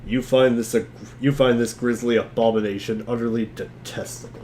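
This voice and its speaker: A man's voice: medium pitch, steady tone, brisk, darkish tone. A man giving a theatrical reading of narration, his throat a bit strained. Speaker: reader in a group dramatic reading. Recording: faint background noise, room ambience.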